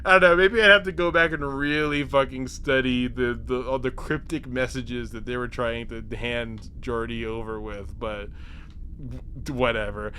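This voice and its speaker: A man hosting a podcast, giving a casual, comedic episode recap with halting, repetitive, sweary phrasing. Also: medium pitch, steady tone, bright, forceful tone, a little hiss or hum. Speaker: podcast host.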